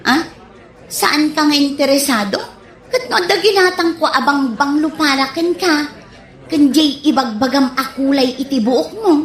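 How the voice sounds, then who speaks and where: Woman acting high-voiced, patient grown daughter. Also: lively intonation, moderate pace. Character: patient, practical grown daughter smoothing things over. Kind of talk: comedy radio drama acting. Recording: roomy echo.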